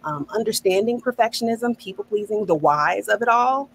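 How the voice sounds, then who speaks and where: A woman's voice: mid-range voice, animated, moderate pace, gravelly, a little hiss or hum. A middle-aged woman hosting a podcast on a video call, speaking in an affirming way and laughing often. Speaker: podcast host, neuro coach. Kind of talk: casual podcast conversation. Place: home office.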